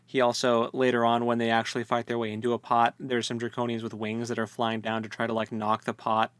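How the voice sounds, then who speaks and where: A man with a medium-pitched voice chats as a podcast co-host in a dry-sounding recording. He retells a story informally, with slangy phrasing and a joking, conversational way of talking. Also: monotone, brisk, fairly bright tone, smooth, no echo. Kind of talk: casual book discussion chat.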